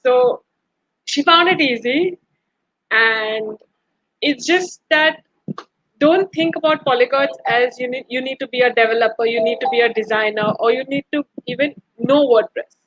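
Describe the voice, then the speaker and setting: A woman's voice: a little high, moderate pace, fairly bright tone, dry recording, lo-fi sound. A woman from India, a Gujarati speaker, answering earnestly on a panel with hesitant fillers. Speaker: panelist and translator.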